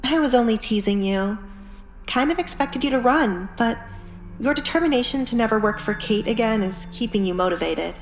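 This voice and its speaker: A woman's voice: fairly high pitch, animated, normal speed, echoey room, voice pushed up, rough recording. A woman playing a guarded, commanding young noblewoman in an audiobook drama, speaking in a firm, controlled way. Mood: teasing. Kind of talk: audiobook drama character acting. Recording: music behind the voice.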